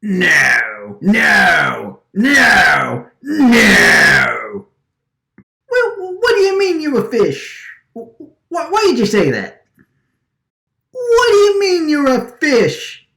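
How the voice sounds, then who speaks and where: A woman's voice: fairly high pitch, wildly swooping pitch, drawn-out words, gravelly, bright, forceful tone, echoey room, exaggerated voice. A woman acting an agitated, stammering wiseguy man with a vacuum for a face, recording radio drama lines. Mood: dismayed. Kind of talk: radio drama line takes, gangster-movie wiseguy delivery.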